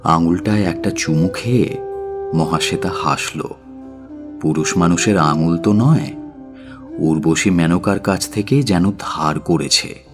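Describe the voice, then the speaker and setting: A man narrating a scripted audio story as its presenter, with a measured, theatrical delivery. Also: deep voice. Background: music.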